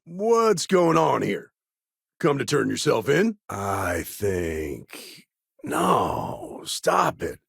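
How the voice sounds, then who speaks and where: A man playing a guarded, world-weary frontier lawman in a video game, with a gravelly, husky voice and laconic, folksy phrasing. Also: fairly low pitch, dry recording, studio quality, exaggerated voice. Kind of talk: game dialogue lines.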